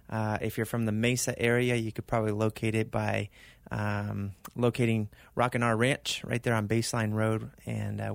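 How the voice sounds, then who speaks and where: A man raised in Argentina, a pastor, talking casually as a radio interview guest in short phrases with pauses between them. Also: mid-range voice, gravelly, no echo, studio quality. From born in California, raised in Argentina. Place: radio studio. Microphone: close studio microphone.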